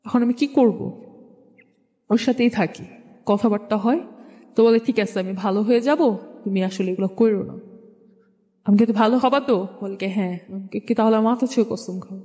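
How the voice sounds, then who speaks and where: High-voiced young Bangladeshi woman, echoing. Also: a little mellow. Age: young adult. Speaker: guest telling their life story. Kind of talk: conversational personal storytelling. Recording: reverberant hall-like echo.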